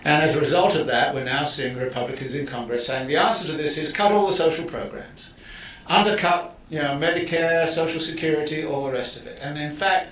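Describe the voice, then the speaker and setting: A male professor giving a deliberate, explanatory lecture to students and often restarting his phrases. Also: fairly high pitch, a bit bright. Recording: echoey room, distant mic, faint hiss.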